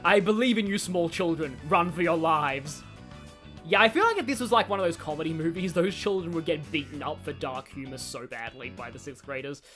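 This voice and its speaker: Very animated, chatty woman streamer. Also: sits low, brisk. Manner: rambling, chatty, wry. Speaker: game streamer. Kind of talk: casual live stream commentary. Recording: game music behind.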